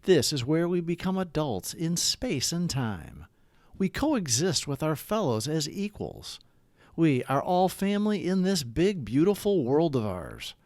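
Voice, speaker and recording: A man reading daily recovery reflections aloud in an earnest, deliberate, scripted devotional style. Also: on the high side, dry recording, studio quality.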